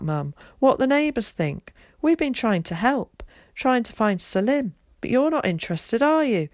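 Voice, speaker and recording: A woman reading an audiobook, voicing a furious, rebellious teenage girl who shouts and mocks. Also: no echo, lightly acted. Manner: shrill, mocking and shouting.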